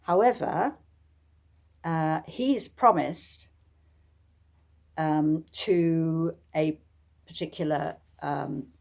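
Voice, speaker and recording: Woman, low voice, author reading, measured, deliberate, frequent pauses. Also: creaky, darkish tone. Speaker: author reading from own novel. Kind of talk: audiobook reading and informal introduction.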